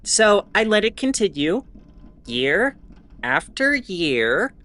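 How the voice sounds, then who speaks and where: A woman playing a wry, mildly exasperated starship announcer in a scripted audio drama, explaining in a measured way with asides. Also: medium pitch, big pitch swings. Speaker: host.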